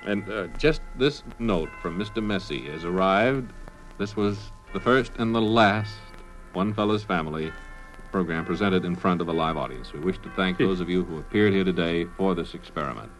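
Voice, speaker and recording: A man playing a pompous, portentous male announcer, measured and drawn out, in a parody of old-time radio serials. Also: deep voice, faint music behind, voice pushed down, lightly acted, poor audio. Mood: solemn.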